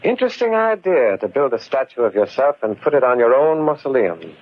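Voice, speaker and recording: A man playing a confident, inquisitive criminologist in a 1940s radio mystery, speaking in a measured, urbane, wry way. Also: medium pitch, big pitch swings. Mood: wry, probing. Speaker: radio actor. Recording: muffled 1940s radio recording with music.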